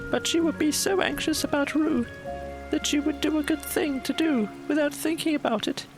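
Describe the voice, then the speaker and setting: A man's voice: very high-pitched, creaky, voice pushed up, exaggerated voice. A man voicing a small, timid, anxious piglet in a fretful, earnest way for a storybook audio play.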